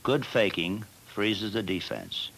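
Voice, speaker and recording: A man narrating a football training film as the team's coach, in a measured and plain instructional manner. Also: on the low side, huge pitch swings, vocal fry, fairly bright tone, slight background noise, low-quality recording.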